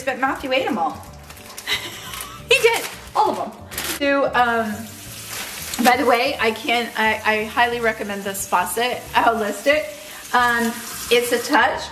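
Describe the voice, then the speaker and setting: A woman's voice: sits high, a bit bright. A woman in her fifties talking casually and eagerly in a cooking vlog from her large home kitchen, with plenty of fillers and restarts. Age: 50s. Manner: chatty, enthusiastic, many fillers and restarts. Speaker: lifestyle vlogger. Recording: echoey kitchen room.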